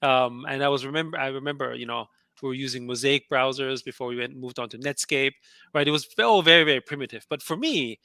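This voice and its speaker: A man's voice: medium pitch, fast, creaky, bright, forceful tone, dry recording. A middle-aged man, originally from Austria with German as his first language, casually telling his story in an interview, chatty with frequent laughs and restarts. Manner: chatty, laughs often, many fillers and restarts. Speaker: guest entrepreneur.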